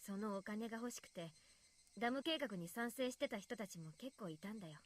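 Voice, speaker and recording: A woman's voice: medium pitch, lively intonation, very fast, close to an everyday voice. A woman acting a guarded, serious teenage village girl in a Japanese visual novel. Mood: hesitant, somber.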